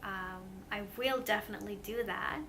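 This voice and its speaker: A woman's voice: medium pitch, moderate pace, bright, forceful tone, slight background noise. Earnest young woman vlogging to camera. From Sweden. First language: Swedish. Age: young adult. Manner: earnest, hesitant, many fillers and restarts. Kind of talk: casual talk to camera. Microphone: camera mic.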